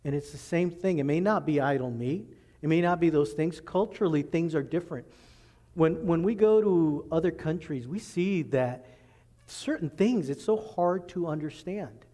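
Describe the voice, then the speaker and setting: A man preaching a sermon as pastor, working through scripture and acting out quoted speech in lively voices. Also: on the high side, moderate pace. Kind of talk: expository sermon. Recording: long reverb in a large hall.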